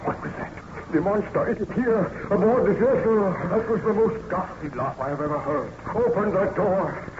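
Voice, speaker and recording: A man's voice: high-pitched, moderate pace, natural voice. An actor playing a tormented, exhausted baron obsessed with destroying his monster, in melodramatic, solemn radio drama style. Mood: frantic dread. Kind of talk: old-time radio drama acting. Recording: muffled, noisy old radio recording.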